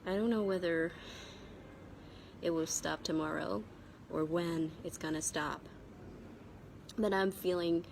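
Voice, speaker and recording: A Vietnamese-accented woman with a cold and sore throat gives a spoken English vocabulary lesson as a teacher, haltingly and repeating key words. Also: lowish voice, fairly even intonation, creaky, background noise.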